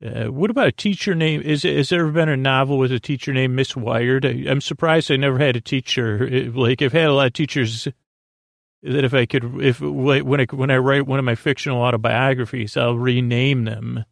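A man delivers a meandering bedtime monologue as a podcast host. His voice has plenty of vocal fry and sits in a very dry recording. He is soothing and whimsical, often halting, tripping over words and drifting off on tangents. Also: mid-range voice, steady tone, no room sound. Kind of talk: rambling bedtime monologue to help listeners sleep.

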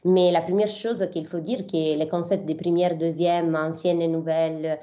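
A woman's voice: fairly low pitch, level tone, normal speed, dark tone, highs cut off. A young Italian woman from southern Italy, a biblical scholar answering interview questions in French with many fillers and restarts.

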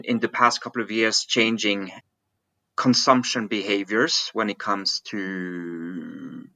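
A man's voice: on the low side, bright, forceful tone, no echo. A man in his forties from Switzerland, a guest on a podcast, answering questions thoughtfully and often repeating words as he searches for the phrasing. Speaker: podcast guest, digital business executive. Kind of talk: conversational interview answers.

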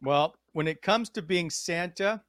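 A man's voice: sits high, measured pace, a bit bright, no room sound. A man hosting a sports podcast in a casual, conversational style. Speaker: podcast host.

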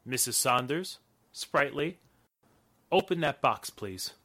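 Actor as forceful, slow-talking radio hero. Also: male voice, medium pitch, expressive, exaggerated voice. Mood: menacing. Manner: terse, deliberate orders. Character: sharp, commanding male hero in a radio thriller. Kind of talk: 1940s radio drama acting.

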